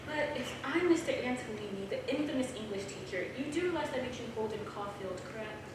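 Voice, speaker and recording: A frank academic mentor, played by a woman in a staged reading. She talks quickly, and the room rings heavily around her from a distant, noisy mic. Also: a little high, animated, natural voice. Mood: teasing. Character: frank young woman English teacher and PhD candidate. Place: small theater, live stage reading. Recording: echoey theater, distant mic, clear noise.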